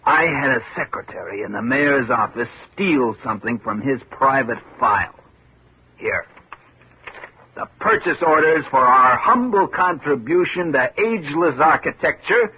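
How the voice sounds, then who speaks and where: A man's voice: medium pitch, slightly rough. A man playing a wry, wheedling small-town informant in a 1950 radio drama, with flowery, sarcastic phrasing. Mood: sardonic, flowery. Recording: muffled old 1950 radio recording.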